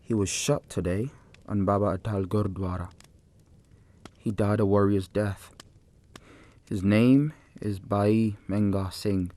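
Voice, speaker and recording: Grave, low-voiced armed militant. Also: male voice, steady tone, mellow, dry recording. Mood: solemn. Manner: measured, grave, deliberate. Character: solemn, commanding armed Sikh militant. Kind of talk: audio drama acting.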